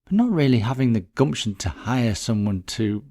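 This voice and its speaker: A man's voice: medium pitch, big pitch swings, moderate pace, mellow. A man voicing a distressed, bitter woman in a mystery story, talking in a dramatic, gossipy, emphatic way. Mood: scornful. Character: distressed, bitter middle-class woman recounting a friend's death.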